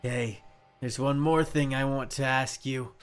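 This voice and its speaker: A self-deprecating young zealot of hope, acted out by a streamer, speaks with theatrical, measured menace and eerie cheer. The visual novel voice sits at medium pitch over faint music. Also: steady tone, close to an everyday voice. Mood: probing. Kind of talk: reading visual novel dialogue aloud.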